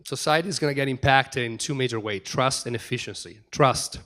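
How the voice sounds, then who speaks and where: A middle-aged man speaks to a conference audience in a room. He races through his points, often restarting and repeating words as he goes. His pitch sits at an ordinary middle level for a man. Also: brisk, a bit bright. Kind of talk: conference talk.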